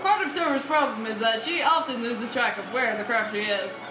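A woman's voice: on the high side, moderate pace. A woman chatting casually and playfully with a co-player during a recorded let's play. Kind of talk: casual gameplay chat with a co-player. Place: indoor room, filming a TV screen. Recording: echoey room with game music. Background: video game music.

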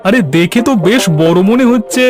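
A man's voice: sits high, brisk, natural voice. A man voicing a curious, amazed villager in a cartoon. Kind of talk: cartoon dialogue. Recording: faint music behind.

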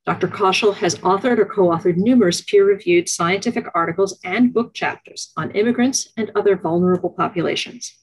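A middle-aged woman introduces a lecture over a video call, reading from prepared notes. Her voice has a slightly husky edge, and her delivery stays measured and formal throughout. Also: mid-range voice, rough recording.

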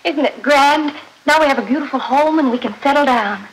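An affectionate young daughter played by a woman in an old 1930s film manner. Her voice is very high and full of excitement. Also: a little fast, a little hiss or hum, natural voice, rough recording. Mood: elated.

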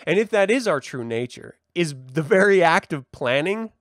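A man's voice: high-pitched, expressive, normal speed, fairly bright tone, no room sound, studio quality. A man hosting a live talk, reflecting aloud with rhetorical questions and emphatic stresses. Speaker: livestream host and religious reverend. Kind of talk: reflective live monologue to an online audience.

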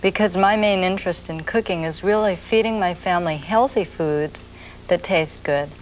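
A woman in her forties hosting a TV cooking show, explaining a recipe in a slow, gentle, soft-spoken way from a studio kitchen set. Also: lowish voice, rough recording. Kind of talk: TV cooking demonstration. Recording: grainy 1980s videotape with noise.

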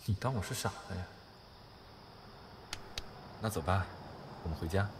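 A male actor plays an earnest young man in a radio drama, caring and a little jealous. His voice is low and gently insistent. There is clear noisy ambience behind him. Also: normal speed, close to an everyday voice. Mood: suspicious, hurt. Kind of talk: audio drama acting in Mandarin.

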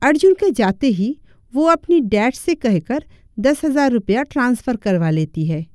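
A woman's voice: on the high side, big pitch swings, unhurried, darkish tone, no echo, clean studio sound. A woman giving scripted narration for a cartoon moral story.

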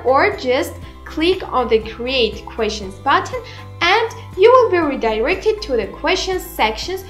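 High-pitched young woman presenting, slow pace. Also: a bit bright. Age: 20s. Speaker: tutorial presenter. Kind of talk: scripted narration, software tutorial. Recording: roomy echo, music behind. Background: background music.

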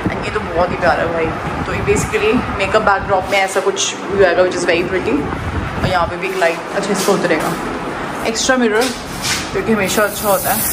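Female vlogger, 30s, informal chatty delivery with English mixed in, handheld camera sound with loud room noise. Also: mid-range voice, fairly even intonation, fairly quick. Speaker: vlogger and salon owner. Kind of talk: casual vlog chat, mixing English phrases. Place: indoor salon rooms being set up. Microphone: camera held at arm's length. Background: loud ambient room noise.

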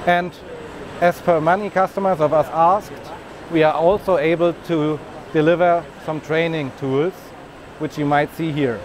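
Man in his 30s, slightly high voice, explains a machine on the move amid loud trade fair chatter. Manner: explanatory, walks and points while talking. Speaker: business development manager. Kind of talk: product demonstration. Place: large busy trade fair hall. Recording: noisy trade fair hall.

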